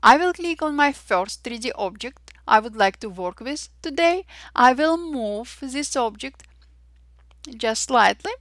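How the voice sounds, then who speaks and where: A woman with an Armenian accent hosting a software tutorial, cheerful and chatty with frequent fillers and little exclamations. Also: on the high side, lively intonation, a little slow, dry recording, clean studio sound. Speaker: Photoshop tutorial host. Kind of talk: screen-recorded software tutorial.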